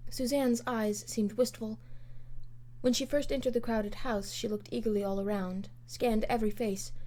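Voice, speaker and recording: A woman's voice: medium pitch, mellow. A woman giving a scripted literary narration as an amateur audiobook reader.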